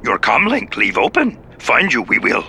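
A man voicing a tiny ancient alien master who speaks calmly with his words in reversed order. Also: medium pitch, normal speed, gravelly, slight background noise, pitched above the performer's own voice, heavily acted. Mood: calm urgent. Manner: inverted word order.

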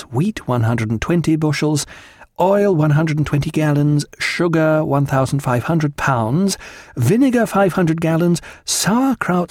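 Man voicing a precise woman, lightly raised pitch. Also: a little mellow, dry recording, studio quality. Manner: measured, precise, itemising. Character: composed, methodical woman reading out a stores list.

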